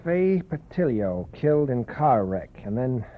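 A man's voice: mid-range voice, sing-song, measured pace, background noise. An actor playing an earnest, anxious young man from a small town who pleads for help, in 1940s radio acting. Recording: tinny old radio broadcast.

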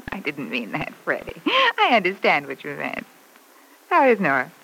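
Wry guilty young lady in an old radio soap, swoopy pitch, faint noise. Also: huge pitch swings, unhurried, gravelly, pushed and bright, full character voice. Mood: concerned. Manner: arch, wry, wounded. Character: wry, self-reproaching young woman seeking forgiveness. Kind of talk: old-time radio serial acting. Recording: noisy old radio broadcast recording.

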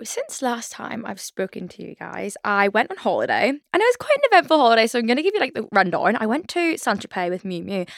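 A woman's voice: medium pitch, gravelly, no echo, studio quality. A young woman in her twenties with a Belfast accent, hosting a casual solo podcast, chatty and rambling with lots of "like" and self-mocking asides. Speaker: podcast host.

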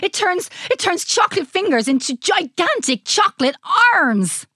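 A woman voicing an eccentric old male scientist in a children's story, animated, stammering and exclamatory. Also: very high voice, normal speed, no echo, clean studio sound, voice pushed up, full character voice. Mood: excited boasting.

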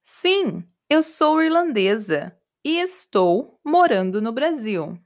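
A woman voicing an Irish woman who teaches English abroad, answering slowly and repeating herself carefully for learners. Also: on the high side, very animated, exaggerated voice. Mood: patient. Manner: slow, repetitive, carefully clear answers.

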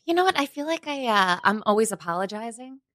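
Long island lady comic, bright forceful voice, dry sound. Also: on the high side, big pitch swings, fairly quick, no room sound. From Long Island, New York. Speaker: guest comedian. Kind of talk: casual chat.

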